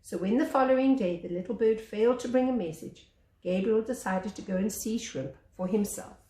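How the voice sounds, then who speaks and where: A woman reading a children's story aloud as a teacher, with expressive narration. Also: mid-range voice, a little fast. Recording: echoey room.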